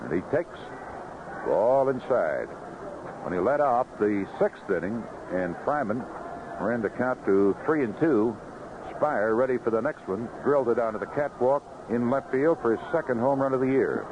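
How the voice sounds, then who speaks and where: A man's voice: medium pitch, highs cut off. An American man calling baseball play-by-play on radio in clipped, descriptive phrases. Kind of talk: live radio sports commentary. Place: outdoor ballpark broadcast booth. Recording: noisy vintage 1970s AM radio broadcast.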